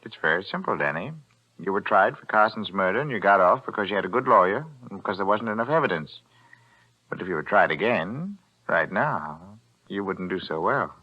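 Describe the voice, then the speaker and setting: A man's voice: low voice, expressive, a little fast. An American actor playing a shrewd, grasping pawnbroker in a 1940s radio thriller, hemming and reasoning slyly as he drives a bargain. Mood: smugly threatening. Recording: muffled old 1940s radio recording.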